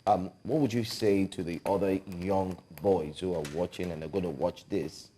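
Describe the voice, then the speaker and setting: A man with a low, dark voice puts questions to the young guests. He restarts and fills his sentences with ums. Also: steady tone, moderate pace, a little hiss or hum. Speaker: interview co-host.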